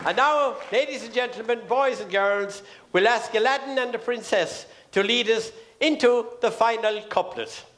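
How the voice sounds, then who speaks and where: A man with an Irish accent making formal, measured announcements as master of ceremonies on a theatre stage. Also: extremely high pitch, a bit bright. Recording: live theatre stage with audience. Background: audience noise.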